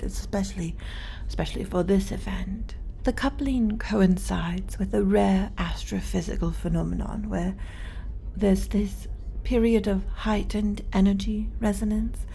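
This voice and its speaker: A woman playing an earnest, bookish xenobiologist in an ASMR sci-fi roleplay, explaining in a hesitant, soft, whispery, slightly flustered academic way. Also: mid-range voice, fairly even intonation, moderate pace, gravelly, slight background noise, natural voice. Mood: fascinated, hushed.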